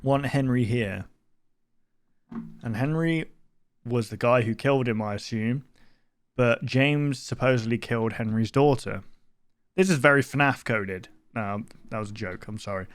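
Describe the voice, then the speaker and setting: A man's voice: mid-range voice, a little slow, pauses often. A man giving casual reaction commentary as a video host, thinking aloud in a puzzled, questioning, rambling way.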